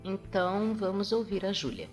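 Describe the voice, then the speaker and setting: A woman's voice: medium pitch, very animated, normal speed, soft background music. A woman podcast host with a Brazilian Portuguese accent, chatting casually and sounding a bit nasal from a cold she is getting over. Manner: chatty, self-correcting. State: recovering from a cold, slightly nasal. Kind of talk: casual solo podcast chat.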